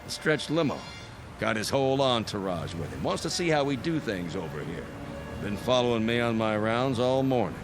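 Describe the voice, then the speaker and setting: A man's voice: medium pitch, very animated, normal speed, over music. A man playing a folksy, wily local politician in an audio drama, blustering and full of old-fashioned slang. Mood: animated, scheming. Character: folksy, wily local politician haggling over a used car.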